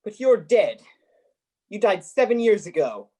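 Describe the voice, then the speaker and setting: A man's voice: high-pitched, very animated, a little slow, warm tone, breathy edge, full character voice. A man acting a grumpy, miserly old man in a radio play, blustering and grumbling. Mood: startled, scoffing.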